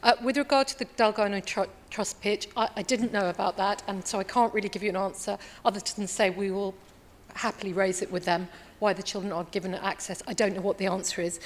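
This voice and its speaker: A woman councillor answers a question with earnest hesitance, restarting her sentences often. Her voice sits at a middle pitch. A long chamber reverb trails behind each phrase. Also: steady tone, a little fast.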